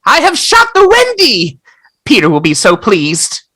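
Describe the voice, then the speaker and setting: A woman's voice: a little high, wildly swooping pitch, unhurried, a bit bright, dry recording, heavily acted. A woman playing a naive, eager little boy in an audio play, with an earnest, dramatic delivery. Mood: urgent, excited.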